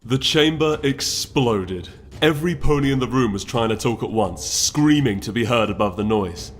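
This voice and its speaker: A man reading a story aloud as dramatic, solemn and deliberate scripted narration. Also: mid-range voice, lively intonation. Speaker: story reader.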